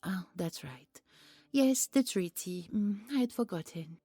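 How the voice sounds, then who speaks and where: A woman's voice: medium pitch, animated, a little slow, mellow, slightly rough, no room sound, soft background music. A woman voicing a regal griffin queen in an audio roleplay, purring, teasing and condescending in mock sweetness. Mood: teasing, syrupy.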